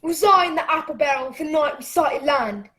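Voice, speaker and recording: Plucky boy hero and storyteller for radio play, played by a woman: earnest, high-pitched. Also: brisk, a crowd in the background, close to an everyday voice. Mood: defiant. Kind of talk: radio play narration and acting.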